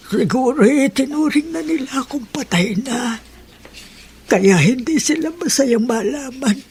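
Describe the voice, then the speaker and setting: An actress playing an aging, humble, wounded mother in a radio drama, halting and tearful. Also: on the high side, dark tone, slight background noise. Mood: hurt, tearful. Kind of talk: Tagalog radio drama acting.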